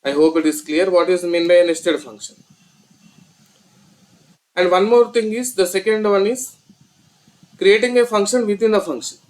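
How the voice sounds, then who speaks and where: Higher-pitched Indian man teaching. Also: moderate pace, long pauses, low-quality recording. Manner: step-by-step, repetitive explaining. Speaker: programming instructor. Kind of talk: screen-recorded tutorial explanation.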